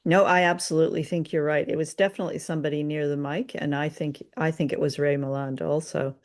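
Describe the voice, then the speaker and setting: A woman's voice: low-pitched, flat delivery, quick pace. A woman co-hosting a podcast, chatting casually and appreciatively as she reviews radio dramas.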